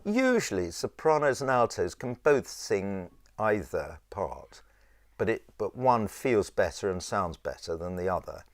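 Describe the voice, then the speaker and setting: A man with a low voice teaching a choir in an informal, rambling and halting conversational way. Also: very animated, faint music behind. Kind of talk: informal instructional talk with sung demonstrations.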